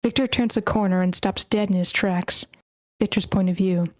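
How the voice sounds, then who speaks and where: A woman narrating stage directions at a screenplay table read in a measured way, with the odd stumble over a word. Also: medium pitch, steady tone, no echo. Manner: measured, slightly stumbling reading. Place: online meeting.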